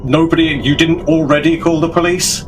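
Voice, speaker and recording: A man playing an intense, agitated investigator who speaks in a halting, formal and insistent way. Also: a little high, flat delivery, pitched above the performer's own voice, natural voice. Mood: exasperated. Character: intense, wound-up male investigator, curt and agitated. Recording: music behind the voice.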